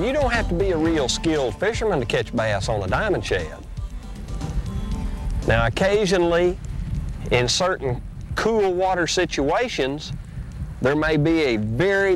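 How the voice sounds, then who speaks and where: A middle-aged man, folksy and drawling, hosting a fishing show and teaching while he fishes from a bass boat on a lake. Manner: folksy, drawling, excited when a fish bites. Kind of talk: casual outdoor instruction while fishing. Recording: noisy outdoor boat audio, 1990s tape.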